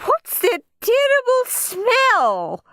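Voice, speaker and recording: A woman's voice: very high voice, huge pitch swings, drawn-out words, fairly bright tone, dry recording, clean studio sound, voice pushed up, full character voice. A woman voicing a fussy, easily shocked lady guest in a children's story, spluttering and gasping. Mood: disgusted.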